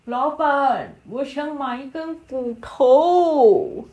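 A woman's voice: high voice, lively intonation, very slow, mellow, voice pushed up, exaggerated voice, low-quality recording. A woman voicing a cheerful, eager little pug dog in an improvised bedtime story. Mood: eager, friendly.

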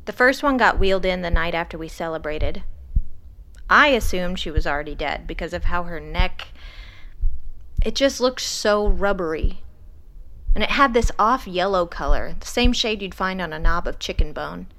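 An actress playing a driven, guilt-haunted ER doctor in an audio drama, speaking in a deliberate, confessional way. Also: female voice, lowish voice, animated, pushed and bright, rough recording. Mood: haunted, intense. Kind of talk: audio drama acting, first-person narration and dialogue.

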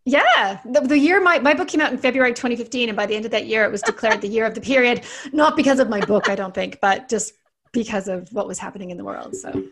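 A woman's voice: a little high, quick pace, fairly bright tone. A middle-aged woman with a Canadian accent, a naturopathic doctor answering as a podcast guest in chatty, explanatory talk that often restarts. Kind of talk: casual interview talk.

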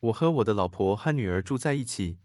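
Man reading lesson translations, animated pitch, dry close mic. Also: quick pace, dry recording. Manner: plain, steady reading of short lines. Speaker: co-host giving translations.

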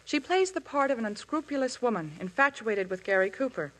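A woman's voice: a little high, expressive, a little fast, a bit bright, low-quality recording. A young woman, an actress guest, chatting politely and earnestly in a scripted exchange. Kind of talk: scripted intermission chat.

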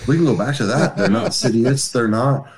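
Thirties male co-host, animated and profane, wide-ranging delivery. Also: mid-range voice, a little fast, a loud crowd. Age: 30s. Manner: animated, swears freely, playful. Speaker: podcast co-host. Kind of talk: casual chat about a TV show.

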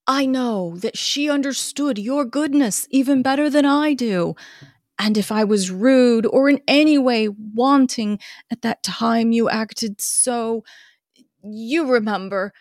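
A woman's voice: on the high side, a little mellow, no echo. Girl doing a heartbroken earnest young gent, drawn out n overwrought, gothic novel drama, really dry close sound. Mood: earnest, humble. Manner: slow, drawn-out, overwrought melodramatic delivery. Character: grief-stricken earnest young gentleman mourning his fiancée.

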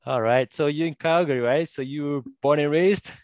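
A man hosting an interview podcast who asks questions haltingly, with many fillers and repeated words. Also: on the high side, a little mellow, no room sound. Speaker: podcast host. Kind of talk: conversational interview.

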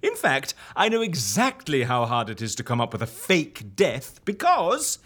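An excitable agency boss in a comedy audio drama, with a hugely animated voice that leaps and dives. The manner is theatrical and sarcastic, piling up repeated words for effect. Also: male voice, medium pitch, moderate pace, slightly rough, heavily acted. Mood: exasperated, sarcastic.

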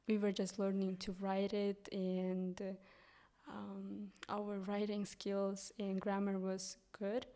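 A young woman from Kyrgyzstan with a Kyrgyz accent, a guest English teacher speaking English as a third language, pausing often with ums and restarts. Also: medium pitch, warm tone. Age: young adult. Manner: hesitant, many ums and restarts. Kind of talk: casual interview.

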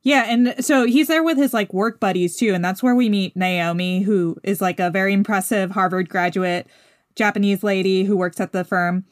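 A woman's voice: mid-range voice, moderate pace, no room sound. A woman co-hosts a casual podcast with a mid-range voice. She recaps scenes in a chatty way and teases her co-hosts. The sound is dry and close. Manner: chatty, playful teasing. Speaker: podcast co-host. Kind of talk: casual chat about a TV show.

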